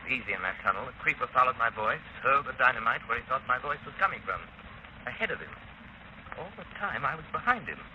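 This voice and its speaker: An actor playing a suave, self-assured gentleman crime-fighter, urbane and precise, in 1930s radio drama style. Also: medium pitch, sing-song, fast, natural voice. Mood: calm, smug. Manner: urbane, wry, precise, declamatory. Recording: muffled, noisy 1930s radio recording.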